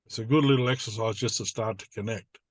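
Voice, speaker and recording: A man's voice: on the low side, quick pace, dry recording. Older Australian man teaching informally, dry sound. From New South Wales, Australia. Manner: plain-spoken, repeats phrases in simple lists.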